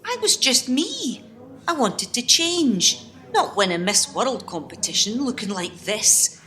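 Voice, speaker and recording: A woman acting a slow-spoken, discontented Scottish dreamer. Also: fairly high pitch, big pitch swings, pushed and bright, exaggerated voice. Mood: wistful, grumbling. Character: Mabel, discontented, wistful woman longing for change. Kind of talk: amateur radio play acting.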